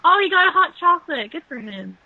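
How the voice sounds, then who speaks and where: Casual female film riffer, high and lively, joking, a little lo-fi. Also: quick pace, no echo. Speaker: co-host of a movie riffing show. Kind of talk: casual joking film commentary among friends.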